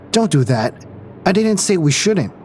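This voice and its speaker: A man's voice: sits high, sing-song, takes it slowly, a little hiss or hum, heavily acted. A man playing a wry, pragmatic space crewman in an audio drama, dry and a little exasperated. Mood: tense, pleading.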